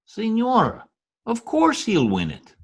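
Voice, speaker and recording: A man playing a brisk, glib, cynical political fixer in an old-time radio drama. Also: high-pitched, wildly swooping pitch, slightly rough, exaggerated voice. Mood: scheming. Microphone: video call.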